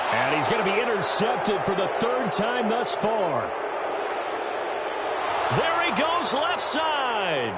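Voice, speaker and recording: A man playing an American play-by-play announcer in a football video game, calling the action in crisp, projected broadcast style. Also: very high-pitched, exaggerated voice. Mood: excited. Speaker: play-by-play sports commentator. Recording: loud music and stadium noise behind. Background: music, stadium ambience.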